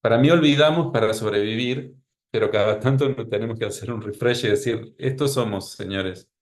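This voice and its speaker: A man's voice: medium pitch, brisk. A man with a Rioplatense Spanish accent, speaking as a psychologist guest in a podcast interview, with a wry, anecdotal way of talking.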